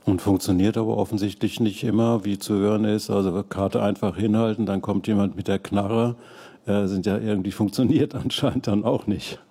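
A man's voice: lowish voice, steady tone, normal speed, mellow, husky. A man hosting an interview, asking questions in a casual, hesitant way while thinking aloud. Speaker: interviewer, host. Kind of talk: conversational interview.

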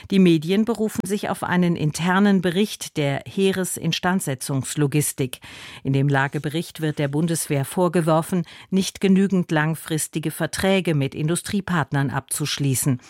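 A woman's voice: low-pitched, no echo. A woman reading a scripted radio news and weather bulletin in a broadcast studio. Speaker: radio newsreader.

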